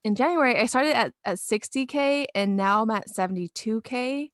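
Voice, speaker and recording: A woman's voice: on the high side, moderate pace, no room sound, clean studio sound. A young woman with an American accent, chatting casually and informally as a podcast co-host, giggly in manner. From California, United States. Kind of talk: casual chat.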